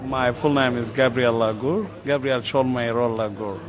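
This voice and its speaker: A man's voice: mid-range voice, moderate pace. A man from South Sudan giving an earnest, plain-spoken personal account outdoors. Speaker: interviewee. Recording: crowd noise outdoors.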